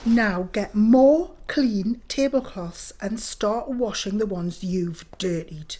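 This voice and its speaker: A woman's voice: mid-range voice, dark tone, a little hiss or hum, natural voice. A woman playing the bossy, emotional lady of the house in an audio play. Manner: commanding, drawn-out delivery.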